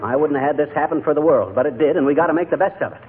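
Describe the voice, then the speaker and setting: A folksy, blustering American husband trades wisecracks in a slightly high voice. The 1940s radio comedy comes through thin and tinny, with a light layer of noise. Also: male voice, racing, husky. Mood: pleading, exasperated.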